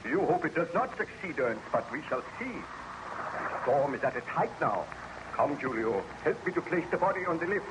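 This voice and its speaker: An actor playing a proud, obsessed young scientist in a formal, theatrical old-time radio drama style, on a noisy recording. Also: male voice, a little high, very animated, normal speed. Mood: excited commanding.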